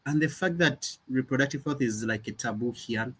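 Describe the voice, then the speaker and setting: A man's voice: medium pitch, normal speed, a bit bright, husky. A young man from Uganda, a nonprofit founder talking earnestly and reflectively in an interview, often restarting his sentences. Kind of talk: conversational interview.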